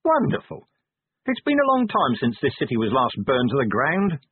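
A man voicing a weary, gruff middle-aged police commander with a sardonic edge. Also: mid-range voice, lively intonation, brisk, no room sound, phone-quality sound.

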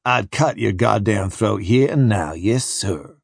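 A sardonic American Southern tough, played by a male narrator, coolly menacing. He drawls in a low, husky growl, close and dry, like a villain in an adventure audiobook. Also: lively intonation, a little slow, no echo, heavily acted. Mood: sly amusement.

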